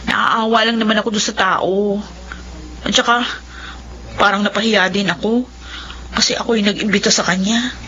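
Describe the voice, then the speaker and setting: A woman's voice: medium pitch, steady tone, normal speed, long pauses, bright, forceful tone, close to an everyday voice. A woman acting as a chatty older cousin who pushes a suitor, talking in a fussing, pushy way. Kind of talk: spoken drama acting. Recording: dull lo-fi audio.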